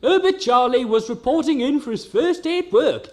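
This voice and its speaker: A man's voice: very high voice, animated, moderate pace, darkish tone. A man playing a fussy, formal narrator and legal adviser, speaking dramatically in a scripted radio comedy. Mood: dramatic.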